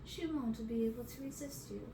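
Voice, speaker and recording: A scheming storybook witch read by a woman, coaxing and sing-song in a high voice. The recording is roomy and clearly noisy, with the mic some way off. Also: normal speed, far from the mic, natural voice. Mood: sly coaxing. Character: sly, painfully thin witch sister, scheming, sing-song.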